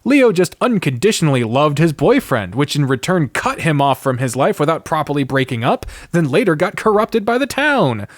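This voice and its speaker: A man's voice: on the high side, big pitch swings, normal speed, few pauses, no room sound, studio quality. A man hosting a podcast, talking in a casual, opinionated rant with an exasperated, sardonic manner and plenty of swearing. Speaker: podcast host.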